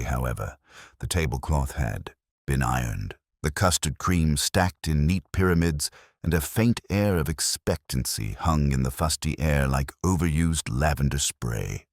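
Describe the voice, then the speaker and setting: Very deep-voiced man narrating a story. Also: no echo, studio quality. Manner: measured, literary storytelling. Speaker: audiobook narrator.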